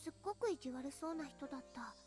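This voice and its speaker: A woman's voice: high voice, lively intonation, rapid-fire, a little mellow, faint music behind. A woman voicing a sweet, cutesy young anime girl with childlike verbal tics in a Japanese visual novel. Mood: explaining earnestly.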